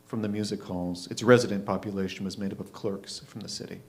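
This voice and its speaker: A man reading a literary short story aloud in a measured, expressive narrating style. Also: lowish voice. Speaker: reader in a readers' theatre.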